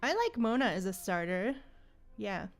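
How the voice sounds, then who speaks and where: A woman's voice: medium pitch, big pitch swings, moderate pace, soft background music. A woman livestreaming a game, chatting casually and musing aloud in an informal, slangy way. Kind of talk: casual live stream chat and commentary.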